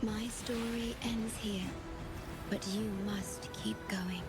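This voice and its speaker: Soft, slow-spoken, gentle guardian. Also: female voice, medium pitch, steady tone. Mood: solemn, resolved. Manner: soft, solemn, pleading. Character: gentle, self-sacrificing young female guardian. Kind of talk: scripted dramatic video game acting. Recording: loud game music over soft voice.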